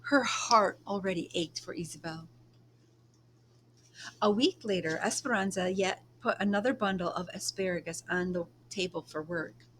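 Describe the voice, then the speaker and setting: A woman's voice: fairly low pitch, normal speed, a bit bright, slight background noise, rough recording. A woman storyteller reading a children's book aloud.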